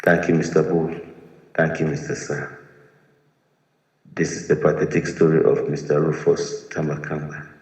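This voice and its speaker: A man's voice: rumbling low, flat delivery, normal speed, long pauses, darkish tone. A man from Liberia, a retired soldier telling his own story in an earnest, pleading way. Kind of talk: personal testimony to camera. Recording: echoey room with long reverb.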